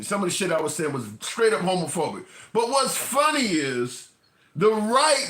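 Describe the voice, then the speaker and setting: A man's voice: high-pitched, fairly bright tone, a little hiss or hum. Blunt, profane man in an echoey room. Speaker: political commentator host. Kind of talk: casual political chat.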